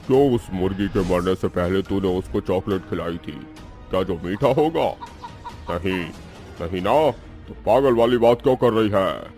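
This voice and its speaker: A man's voice: low-pitched, normal speed, a little mellow, soft background music. A man voicing a hungry, domineering male ghost in a cartoon horror tale, scornful and boastful in manner. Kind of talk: animated horror story dialogue.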